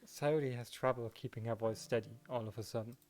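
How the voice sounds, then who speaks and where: A man's voice: medium pitch, steady tone, a little fast, darkish tone, lightly acted. A man plays an earnest teenage boy, the protective lead of a visual novel. He narrates and delivers dialogue in a mid-range voice, over background music. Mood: narrating.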